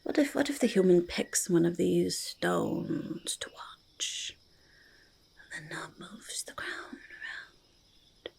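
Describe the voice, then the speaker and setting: A woman voicing a quirky, stubborn goblin who loves shiny treasures, in a halting, breathy, creaky ASMR whisper, speaking in third person. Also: on the low side, exaggerated voice. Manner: halting, childlike third-person speech, easily argumentative. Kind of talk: soft-spoken ASMR roleplay, mostly whispered.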